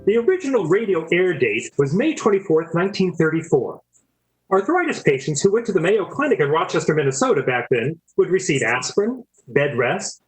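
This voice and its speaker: A male announcer reads scripted narration for a radio drama. His voice sits slightly high for a man. The delivery moves with animated, varied intonation. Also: low-quality recording.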